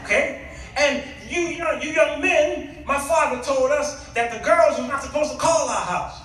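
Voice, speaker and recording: An American man preaching in an animated, folksy storytelling style to a congregation. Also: very high-pitched, normal speed. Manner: animated, folksy, acting out exchanges; rhetorical questions to the congregation. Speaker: guest preacher. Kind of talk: storytelling sermon. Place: church, before a congregation. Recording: echoey hall, picked up from far off.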